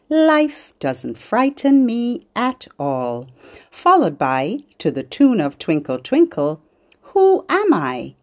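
A woman hosting a children's program, speaking in simple phrases meant for small children. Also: lowish voice. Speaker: host of a children's literacy podcast. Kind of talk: sing-along children's program narration with songs.

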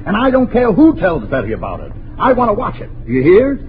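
A long-suffering suburban father in a 1940s radio comedy. He blusters and grumbles with extremely animated pitch. The vintage broadcast is muffled and plainly noisy. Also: fast. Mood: blustering.

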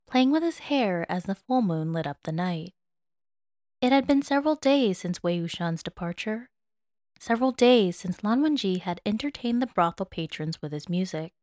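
A woman's voice: on the low side, a little mellow, dry recording, studio quality. A woman narrating fan fiction as a dramatic audiobook.

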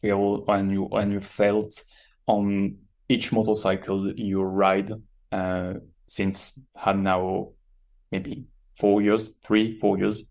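A man with a French accent, hosting a casual interview, who speaks haltingly and repeats words as he forms his questions. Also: lowish voice, level tone, creaky, darkish tone. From France. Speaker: podcast host.

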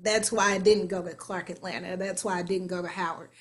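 A woman's voice: lowish voice, brisk. A woman in her forties from Kansas City, a stage actor and singer, chatting as a guest and acting out her own thoughts as she tells stories. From Kansas City, USA. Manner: lively storytelling, acts out her own inner voice. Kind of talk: conversational interview.

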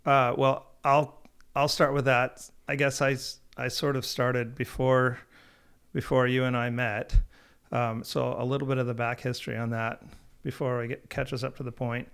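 A man's voice: mid-range voice, flat delivery, moderate pace, gravelly, fairly bright tone, smooth. A man in his thirties, a company founder, telling his story in a casual podcast chat, slightly nasal and rambling with frequent restarts. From Oregon.

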